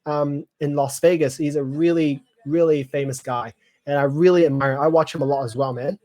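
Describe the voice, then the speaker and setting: Twenty-something man hosting a casual live chat; rambles with lots of fillers, a slightly higher voice. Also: steady tone, brisk, mellow. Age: 20s. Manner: clears throat often. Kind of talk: casual live chat reading viewer comments.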